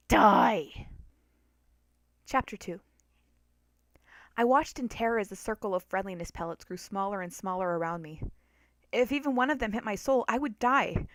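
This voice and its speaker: A woman's voice: medium pitch, fairly bright tone, no room sound. Dry-sounding woman reading a dramatic story. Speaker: narrator of a fan story.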